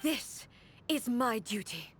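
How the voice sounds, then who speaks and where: A woman voicing a resolute, ageless warrior deity in a video game, speaking in a formal, grave and measured way. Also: fairly high pitch, very animated, slight background noise. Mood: solemn, resolute.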